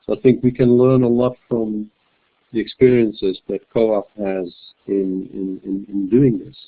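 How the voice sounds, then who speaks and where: A man with a fairly low voice speaks as a webinar panelist, measured and prone to restarts. His audio comes through thin and narrow, like a phone line. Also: level tone. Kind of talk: webinar discussion. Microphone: computer mic on a web call. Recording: tinny phone-like web call audio.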